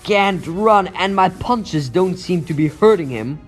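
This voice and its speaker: Guy doing young teen superhero, highish animated voice, wisecracky but anxious, dramatic thinking out loud, comic dub. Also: a little slow, soft background music. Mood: strained, worried. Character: wisecracking teenage male superhero, earnest and anxious. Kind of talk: comic book dub voice acting.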